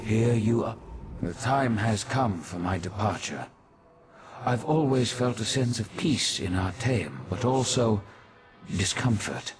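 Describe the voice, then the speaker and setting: A man playing an ancient, wise and weary demigod in a fantasy game, speaking in a grave, formal, measured way. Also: lowish voice, lively intonation, background noise. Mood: grave, reflective. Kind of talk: scripted fantasy game dialogue.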